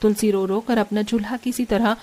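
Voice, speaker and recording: A woman's voice: medium pitch, steady tone, fairly quick, a little mellow. A woman narrating a scripted cartoon family story as the storyteller.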